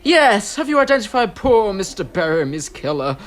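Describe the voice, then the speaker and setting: A woman's voice: mid-range voice, sing-song, moderate pace, natural voice. A woman playing a pompous, bickering posh husband. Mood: blustering. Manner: snappish, blustering. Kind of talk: amateur audio drama acting.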